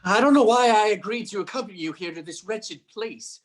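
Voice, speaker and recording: A woman playing an anxious, bewildered young husband in a radio play, halting and stammering. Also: medium pitch, lightly acted. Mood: irritated, agitated. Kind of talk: old-time radio play acting.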